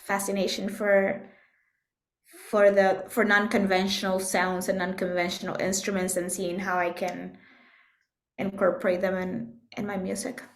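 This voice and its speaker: A woman from Puerto Rico speaking as an interview guest, reflective and thoughtful, often pausing to search for words. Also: medium pitch, little pitch movement. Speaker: interview guest, composer and musician. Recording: echoey room sound.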